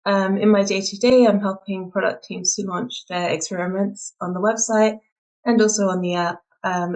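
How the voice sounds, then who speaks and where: A woman introducing herself briskly and plainly as a guest on a webinar call. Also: mid-range voice, a little mellow, rough recording. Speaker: webinar guest, experimentation specialist.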